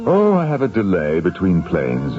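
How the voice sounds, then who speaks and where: An actor playing a self-important, ambitious husband, emphatic and coolly dismissive, in old-time radio drama style. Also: male voice, low voice, wildly swooping pitch, moderate pace, over music. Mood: smug. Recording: muffled, faintly noisy old radio recording.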